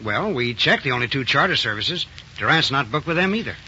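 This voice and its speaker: A man's voice: mid-range voice, expressive, fairly quick, sharp tone. A man playing an efficient FBI agent giving matter-of-fact reports in a 1940s radio crime drama. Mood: businesslike. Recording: muffled 1940s radio with faint noise.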